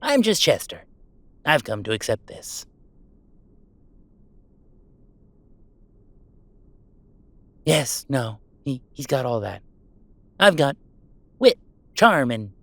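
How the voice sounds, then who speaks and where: A man's voice: a little high, wildly swooping pitch, measured pace, pauses often, a bit bright, no echo, exaggerated voice. A man voicing a playful, self-deprecating werewolf streamer in a one-sided roleplay audio drama, full of teasing banter and dramatic sarcasm. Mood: self-deprecating, boastful. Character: wisecracking young male werewolf streamer, affectionate and self-deprecating.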